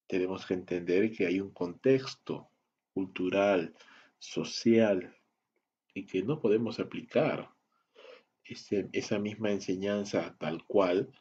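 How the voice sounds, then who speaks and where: A man teaching a Bible study lesson, in a measured, deliberate style that moves through lists and rhetorical questions. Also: sits low, warm tone, husky, lo-fi sound. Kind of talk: slow, deliberate teaching lecture.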